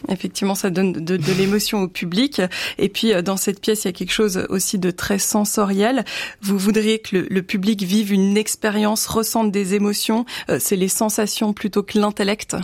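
A woman radio host interviewing a guest and reading the weather, brisk and clear. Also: medium pitch, level tone, a little mellow, dry recording. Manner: brisk, clear presenter delivery. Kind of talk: radio culture segment and news.

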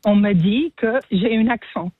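A woman's voice: medium pitch, a little slow, breathy edge, no echo. A woman from Mexico and the United States, calling in to a radio show by phone while walking her dog, talking hesitantly with many fillers. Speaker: caller. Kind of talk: phone-in testimony. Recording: tinny dry phone line.

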